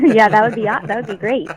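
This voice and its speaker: A young adult actress with a high voice, chatting easily as an interview guest and laughing often. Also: female voice, steady tone, fairly quick, dull audio, a crowd in the background. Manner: easygoing, laughs often, talks about herself in a light way. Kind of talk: casual interview chat.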